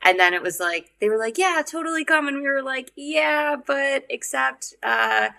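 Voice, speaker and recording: A woman's voice: high voice, animated, normal speed, sharp tone. A young adult woman singer with a Wisconsin accent, chatting casually as a podcast guest, laughing often and acting out quotes.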